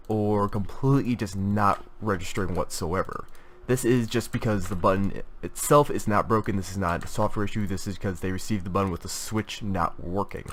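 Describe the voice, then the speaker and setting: A man's voice: on the low side, slight background noise. A young man with a stuffy voice from a cold, reviewing gaming gear in a casual, rambling way with many fillers and restarts. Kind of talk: casual product review talk.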